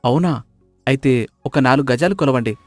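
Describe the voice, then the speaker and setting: A man's voice: mid-range voice, moderate pace, dry recording, faint music behind, lightly acted. A man playing a naive, deferential village fellow in a moral story, speaking politely and apologetically. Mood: polite, doubtful.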